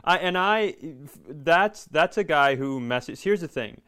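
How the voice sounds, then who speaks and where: A man's voice: on the high side, animated, slow, fairly bright tone, smooth. A man co-hosting a comedy podcast with casual, crude, joking banter, often repeating phrases as he riffs. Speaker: podcast co-host.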